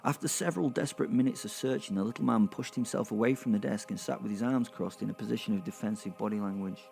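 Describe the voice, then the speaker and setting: A man's voice: fairly low pitch, quick pace, creaky, darkish tone, soft background music. A man narrating a dramatic story in the first person, conversational and wry, with rambling, chatty asides. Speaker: narrator and host.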